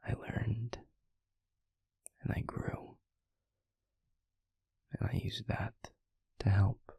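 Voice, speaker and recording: Male demon played by a man, introspective and tender, once arrogant. Husky, gravelly half-whisper, close and dry, for intimate audio roleplay. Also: on the low side, level tone, a little mellow, no room sound, exaggerated voice. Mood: wistful, reflective. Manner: slow, halting, reflective delivery.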